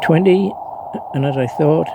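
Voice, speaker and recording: Gravelly, hesitant man in noisy outdoor recording. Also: a little high, unhurried. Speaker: amateur radio operator, vlogger. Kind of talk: casual unscripted demonstration. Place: outdoors, partly on a breezy beach. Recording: clearly noisy outdoor ambience.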